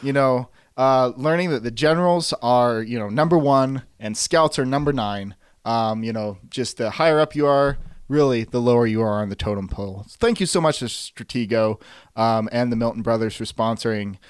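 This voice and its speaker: A man's voice: medium pitch, normal speed, smooth, dry recording, clean studio sound. A man co-hosting, giving a casual, jokey sponsor read in a mock-awed, gushing manner. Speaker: co-host.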